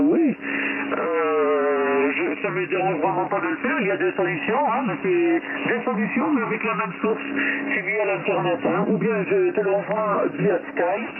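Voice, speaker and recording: A man giving casual technical chat as an amateur radio operator. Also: high-pitched. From Belgium. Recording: narrow tinny radio link with clear static.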